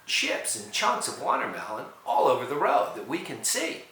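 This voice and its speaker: A man's voice: medium pitch, wildly swooping pitch, moderate pace, sharp tone, reverberant. A man from the USA, telling a personal story and acting out the voices he quotes. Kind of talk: personal story told to camera.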